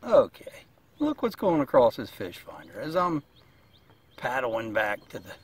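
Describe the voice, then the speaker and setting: A man's voice: mid-range voice, big pitch swings, a little slow, breathy edge. A middle-aged man with an American accent gives a casual, plain-spoken, folksy product review while out in a kayak. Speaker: kayak angler reviewing gear. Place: mostly outdoors in a kayak on open water.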